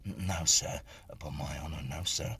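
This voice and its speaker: A man's voice: extremely low pitch, normal speed, vocal fry, pushed and bright, a little hiss or hum, voice pushed down, full character voice. A man voicing a frightened, fawning Victorian schoolmaster in a ghost story, faint, stammering and deferential. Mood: fawning, evasive.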